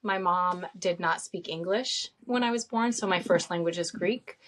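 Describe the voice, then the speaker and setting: A woman's voice: on the low side, normal speed, fairly bright tone. A woman in her late forties, a guest in a casual interview, telling her story with frequent laughs, ums and restarts. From upstate New York, raised in Saudi Arabia.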